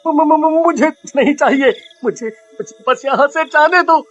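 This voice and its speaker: A scheming cartoon treasure hunter, pitched very high and sharp. He is greedy and cowardly, and everything comes out exaggerated and theatrical. The sound is slightly dull. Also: takes it slowly, full character voice. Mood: pleading. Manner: exaggerated, theatrical, stammering when scared. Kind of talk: Hindi cartoon dialogue.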